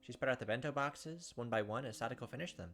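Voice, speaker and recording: A man's voice: mid-range voice, fast, a bit bright, soft background music. A man hosting a gaming video, reading visual novel narration and commenting casually.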